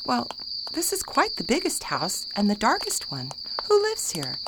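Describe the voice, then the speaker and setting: A woman's voice: on the high side, huge pitch swings, normal speed. A woman playing a prim, curious Victorian fiancée. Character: genteel young Victorian fiancée, curious and proper. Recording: noisy night ambience with crickets.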